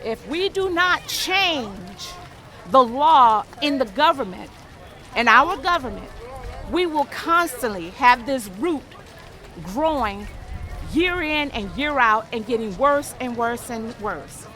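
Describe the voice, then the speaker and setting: Slow, earnest high-voiced woman. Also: lively intonation, bright, forceful tone. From Detroit, Michigan. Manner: earnest, measured. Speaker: protester, traveling nurse. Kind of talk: street interview. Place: outdoor street protest.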